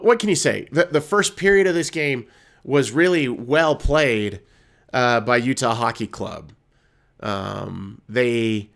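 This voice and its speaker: A man with a slightly hoarse voice from being ill, hosting a live postgame hockey show. He talks in a loose, rambling way, full of fillers and restarts, at an ordinary middle pitch. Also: a bit bright. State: hoarse from illness. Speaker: hockey podcast host. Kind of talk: casual postgame analysis.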